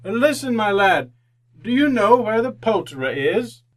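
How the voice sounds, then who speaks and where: An actor playing an old repentant miser in a radio drama, theatrical and fervent. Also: male voice, high-pitched, sing-song, moderate pace. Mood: giddy delight. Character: old repentant miser, fearful then overjoyed.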